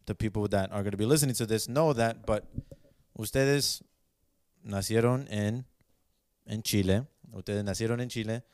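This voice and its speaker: A man's voice: fairly low pitch, darkish tone, clear, resonant tone, dry recording, studio quality. A young man hosting a casual bilingual interview, switching between Spanish and English mid-sentence. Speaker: host interviewing his parents.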